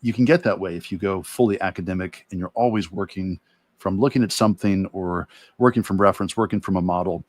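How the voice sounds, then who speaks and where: A man with a low voice, recorded close and dry, talks casually as an illustrator explaining his process. He is reflective and thinks aloud, restarting phrases as he works toward his point. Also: fast, no echo. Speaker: guest illustrator and art teacher. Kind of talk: casual explanatory livestream talk.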